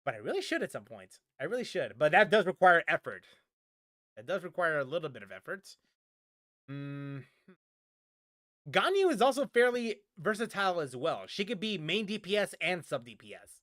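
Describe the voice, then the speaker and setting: A man's voice: high voice, sing-song, moderate pace, a bit bright, no echo, studio quality. A man streaming casual game chat, rambling and often repeating his phrases for emphasis. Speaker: gaming streamer answering viewers. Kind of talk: casual livestream chat about games.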